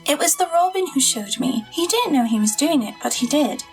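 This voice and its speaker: High-voiced, earnest storytelling girl. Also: brisk, faint music behind. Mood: urgent, insistent. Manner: storytelling, wonder-filled, expressive. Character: earnest, wondering young girl recounting her adventure. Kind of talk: audio drama narration and dialogue.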